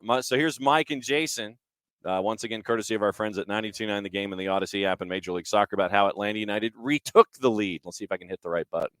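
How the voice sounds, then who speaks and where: Low-voiced male sports talk host, dry close sound. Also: rapid-fire, a bit bright, no echo. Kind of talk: casual sports talk, introducing match clips.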